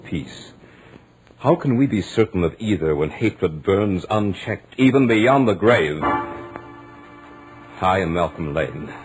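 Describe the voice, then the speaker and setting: Studious young gentleman, low voice, measured literate narration, self-assured, vintage radio drama, faint music. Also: animated, husky, heavily acted, poor audio. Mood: grave, urgent.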